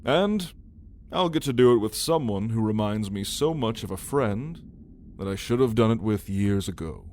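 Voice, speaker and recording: A man's voice: lowish voice, expressive, darkish tone, a little hiss or hum. A man voicing a lazy, playful old male dragon in an ASMR roleplay, with a teasing, sardonic drawl. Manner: unhurried, teasing, chuckling drawl. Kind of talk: ASMR roleplay addressed to the listener.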